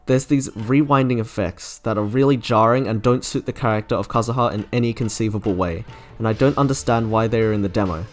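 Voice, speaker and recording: A young man reading scripted opinion commentary as a video essayist. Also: medium pitch. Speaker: video essayist reviewing game trailers. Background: soft game music.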